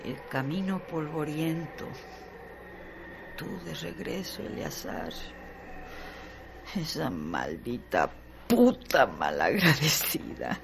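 A delirious, gravelly dying woman. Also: deep voice, big pitch swings, slow pace, pauses often, exaggerated voice. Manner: feverish, broken, murmured lines. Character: delirious dying woman calling for her lover. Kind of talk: radio drama acting. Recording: noisy radio play recording.

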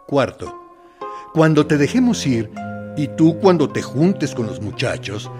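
A military interrogator in a radio drama, coaxing and threatening in a theatrical way. His pitch moves widely as he persuades with false kindness and underlying menace. Music plays faintly behind him. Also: sing-song, a little slow. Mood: coolly persuasive.

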